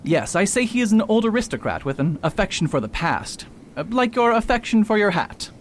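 Radio mystery sleuth: self-assured male doctor played by a man, highish voice, very varied intonation, pompous, precise. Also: sing-song, a little fast, a little hiss or hum. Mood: pompous, deducing. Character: pompous male doctor-detective, confident in his deductions. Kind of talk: radio drama acting.

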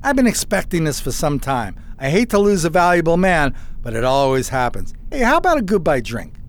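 A man's voice: on the high side, big pitch swings, fairly quick. A man playing a businesslike 1920s detective agency boss in a radio play. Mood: resigned.

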